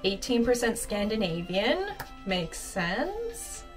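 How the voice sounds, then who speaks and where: A woman hosting her own channel, teaching vocabulary with slow, careful pronunciation of each word. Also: medium pitch, very animated, distant mic, soft background music. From Finland and the US. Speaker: language teacher and channel host. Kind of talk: sponsored segment and vocabulary lesson.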